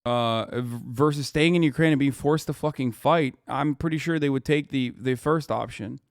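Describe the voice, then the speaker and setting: A man's voice: mid-range voice, normal speed, dry recording, studio quality. A man streaming live, reacting to a news video with heated, profane rants and emphatic repetition.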